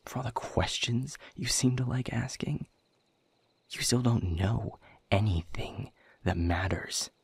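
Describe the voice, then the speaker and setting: A man playing a weary, bitter demon captive in an audio roleplay, speaking in a husky, breathy voice with measured, sardonic formality. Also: mid-range voice, dry recording, heavily acted. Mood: angry, scornful. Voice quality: husky, breathy, often dropping toward a whisper. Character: weary, bitter, guarded male demon captive, quietly defiant. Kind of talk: scripted audio roleplay monologue.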